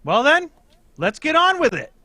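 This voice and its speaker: A man putting on an excitable old-fashioned sidekick for a 1940s-style radio opening, full of eager exclamations. The voice is high and bright, with lively swings in pitch. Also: normal speed, no room sound, heavily acted. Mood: excited.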